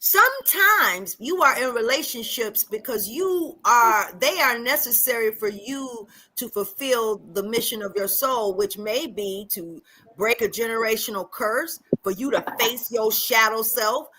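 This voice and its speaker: Bright-voiced woman talking spirituality. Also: fairly high pitch, animated, unhurried, rough recording. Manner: earnest, spiritual, explains at length. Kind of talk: casual group chat.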